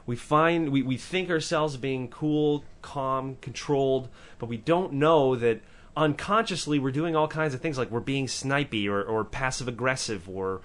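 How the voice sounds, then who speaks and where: Animated man with a powerful voice. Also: mid-range voice, a little slow. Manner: animated, swearing, many restarts and asides. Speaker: podcast co-host. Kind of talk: casual discussion.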